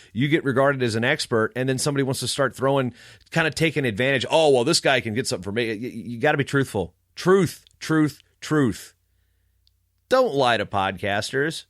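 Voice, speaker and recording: Man, medium pitch, sarcastic edge, punchy emphasis, conversational podcast rant, dry room. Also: fairly bright tone, no echo. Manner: snarky, emphatic. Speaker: wrestling podcaster. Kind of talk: casual storytelling rant with read-out email.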